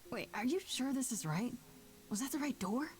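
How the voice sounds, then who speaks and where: Earnest young heroine, lively intonation. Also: female voice, sits high, moderate pace. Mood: wary. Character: earnest, uncertain young heroine with dry wit. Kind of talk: video game voice acting.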